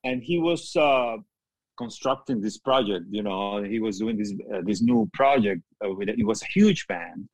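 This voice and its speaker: A middle-aged man, a musician whose first language is not English, telling stories in a casual interview, lively and quick to act out what people said. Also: fairly low pitch, no echo, low-quality recording. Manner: animated storyteller, acts out quoted voices, laughs often. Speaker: guest musician, keyboard player.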